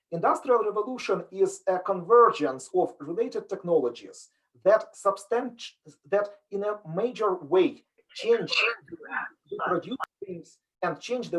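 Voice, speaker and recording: A middle-aged man with a Ukrainian accent lectures on business in an earnest, explanatory way. His voice sits fairly high for a man. He often restarts his phrases as he works through a point. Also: fairly bright tone, low-quality recording. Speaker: business professor giving a talk. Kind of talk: online lecture presentation.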